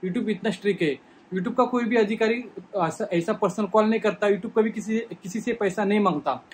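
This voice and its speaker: A man's voice: sits high, moderate pace, a little hiss or hum. A young man explaining informally and emphatically to viewers, repeating points, recorded in a small room. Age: young adult. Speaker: vlogger warning about scams. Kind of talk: explanatory talk to camera.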